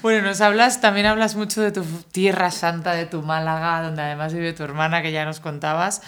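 A woman's voice: on the low side, flat delivery, a little fast, a bit bright. A woman co-hosting an interview, asking friendly, rambling multi-part questions. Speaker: co-host, interviewer. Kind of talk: casual interview chat.

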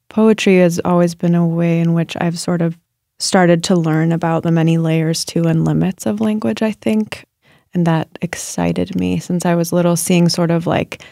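A woman's voice: on the low side, gravelly, mellow, no echo, studio quality. A woman writer answering interview questions in a thoughtful, searching way, with many fillers and restarts. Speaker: writer and guest.

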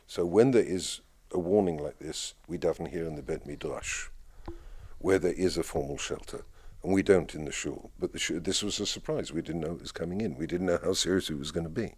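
A man who leads prayers at his community, answering interview questions in a lively, storytelling way with frequent laughs. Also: deep voice, a little fast, breathy edge.

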